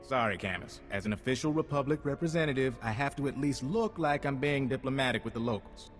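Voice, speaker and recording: An actor playing a wry, unflappable undercover agent in a video game, casual and confident. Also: male voice, mid-range voice, fast talker, in a large hall, natural voice. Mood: wryly annoyed. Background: music.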